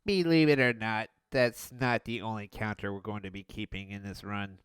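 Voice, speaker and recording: A young man reviewing an old cartoon in a sarcastic, mocking voice-over with deadpan jabs. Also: lowish voice, moderate pace, clean tone, no echo, studio quality.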